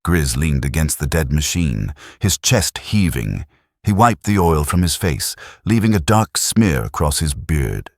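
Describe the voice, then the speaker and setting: A man narrating a science fiction audiobook in a steady, dramatic storytelling manner, close to a studio mic. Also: rumbling low, measured pace, no echo. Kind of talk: scripted narration.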